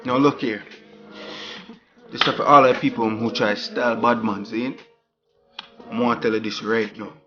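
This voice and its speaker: A man putting on a loud swaggering Jamaican voice. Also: medium pitch, steady tone, moderate pace, long pauses, muffled recording, faint music behind, close to an everyday voice. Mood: boastful. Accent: put-on Jamaican. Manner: loud, swaggering patois, keeps asking "you hear me". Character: boastful young Jamaican man, playful.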